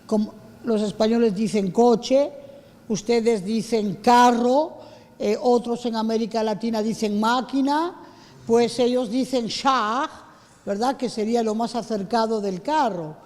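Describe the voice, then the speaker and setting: Woman, interpreting, hesitant, slow, echoey hall. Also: a little high, darkish tone. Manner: hesitant, with frequent fillers. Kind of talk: summarizing translation for an audience. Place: lecture hall.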